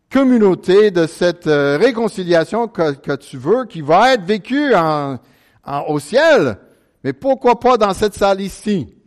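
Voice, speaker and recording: Earnest American man preaching in French. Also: sits high. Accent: American English. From United States, Chicago suburbs. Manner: earnest, halting with many restarts, prayerful. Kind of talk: sermon.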